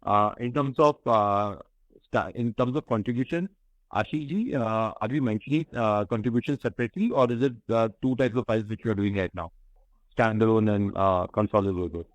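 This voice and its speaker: A man with an Indian accent and a fairly low voice speaks as a company executive on a call. He explains things in a measured, hesitant manner. The sound is dry, with very little room. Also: no echo, poor audio. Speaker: company executive answering questions on an earnings call. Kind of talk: business conference call, unscripted answers. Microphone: conference call line, close and dry.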